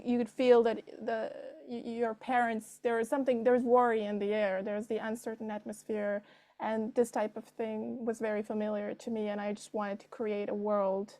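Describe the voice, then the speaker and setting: A woman's voice: fairly high pitch, dark tone. A woman, answering interview questions conversationally and thinking aloud as she goes. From Serbia. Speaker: filmmaker being interviewed.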